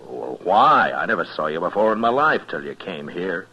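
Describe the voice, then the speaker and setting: An American man acting in an old-time radio western as a steady, plain-spoken frontier marshal with a laconic, firm manner. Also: deep voice, moderate pace, slight background noise, full character voice. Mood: stern. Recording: dull vintage radio recording.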